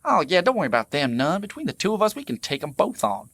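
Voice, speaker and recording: An actor playing a scheming, bossy American bandit in an audio drama, with an impatient folksy frontier drawl. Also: male voice, high-pitched, big pitch swings, quick pace, a bit bright, no room sound, heavily acted. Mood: confident scheming. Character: scheming, bossy, dim-witted bandit brother, male.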